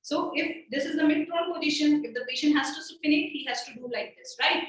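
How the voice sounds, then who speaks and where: A woman's voice: sits high, a little fast. A woman teaching a clinical exam by demonstrating on a volunteer, explaining step by step and checking in with frequent "okay" and "right". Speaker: medical teacher. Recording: very echoey room, voice from far off the mic.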